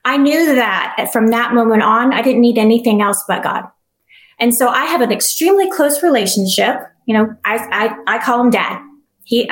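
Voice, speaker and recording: A middle-aged woman with a soft voice, telling her personal story as an interview guest, earnest and reflective with many restarts. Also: fairly high pitch, echoey room.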